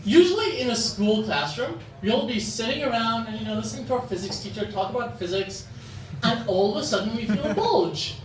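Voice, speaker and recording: A young man from Dubai performing stand-up comedy at an open mic in an animated manner, recorded in an echoey, noisy room from far off. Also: very high voice, brisk. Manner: animated, acts out short quoted exchanges. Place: open mic comedy venue in front of an audience.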